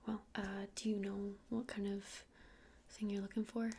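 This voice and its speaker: A woman whispering softly and breathily in an ASMR roleplay as an easygoing witch shopkeeper, casual and a little hesitant. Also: medium pitch, little pitch movement, moderate pace, slight background noise. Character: friendly, easygoing witch running a crystal shop.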